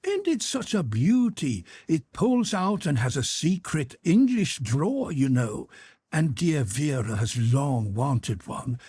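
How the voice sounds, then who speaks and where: A man's voice: on the high side, very animated, slow, a little mellow, husky, no echo, pitched above the performer's own voice, full character voice. A man reading an audiobook, voicing a fawning young officer with a wheedling, polite manner. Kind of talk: audiobook character voice.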